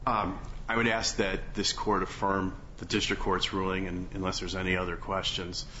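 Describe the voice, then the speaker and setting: A man speaking as a lawyer in oral argument on appeal, halting and hedging, often repeating his phrases. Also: deep voice, little pitch movement, bright, forceful tone. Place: courtroom. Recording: muffled courtroom recording, faint background noise.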